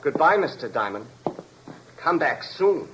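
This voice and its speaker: An actor playing a deranged escaped convict, calm, polite and coldly menacing, in the style of a 1950s radio drama. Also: male voice, on the high side, a little slow. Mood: coolly polite. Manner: polite, composed, coldly precise. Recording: noisy vintage radio recording.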